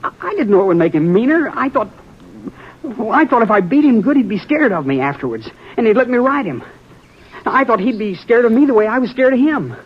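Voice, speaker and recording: A man's voice: very high voice, very animated, brisk, slightly rough, heavily acted. An actor playing a troubled, frightened boy on a ranch, pleading and defensive, in a 1950s radio drama. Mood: confessing. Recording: dull old radio broadcast.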